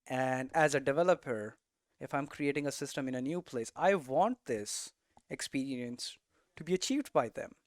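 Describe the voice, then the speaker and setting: A man's voice: mid-range voice, smooth, no room sound, studio quality. A man giving a casual, unscripted tutorial as a game developer, thinking aloud and often checking in with rhetorical questions. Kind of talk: casual, unscripted explanatory talk.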